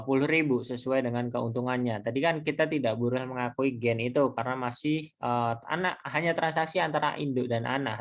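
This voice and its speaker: Man explaining step by step, haltingly. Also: medium pitch. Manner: informal, halting, step-by-step explaining with self-posed questions. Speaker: accounting lecturer. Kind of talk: explanatory screen tutorial lecture.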